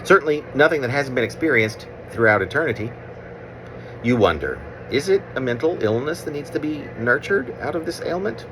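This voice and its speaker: A man reading his own literary poem aloud with deliberate phrasing, pauses and repetitions. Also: mid-range voice, lively intonation, background noise. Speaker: poet reading own work.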